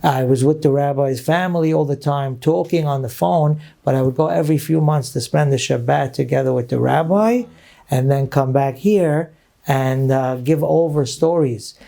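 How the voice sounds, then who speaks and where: A middle-aged rabbi from Brooklyn, telling personal stories as a guest in earnest, reminiscing talk sprinkled with Hebrew phrases. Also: male voice, on the high side, moderate pace, vocal fry, warm tone. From Brooklyn, New York. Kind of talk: storytelling interview talk.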